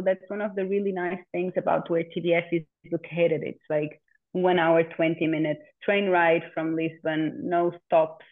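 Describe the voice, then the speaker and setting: A woman from Portugal, talking casually and haltingly as an interview guest, often repeating words. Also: lowish voice. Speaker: interview guest, community land steward. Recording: narrow phone-like call audio.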